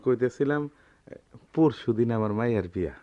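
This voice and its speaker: A man's voice: mid-range voice, lively intonation, normal speed, dark tone, lo-fi sound, lightly acted. A man playing a humble, worried middle-aged village goldsmith and father, speaking a Bangladeshi rural dialect in a radio drama. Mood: deferential. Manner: deferential, pleading. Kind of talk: Bengali radio drama acting.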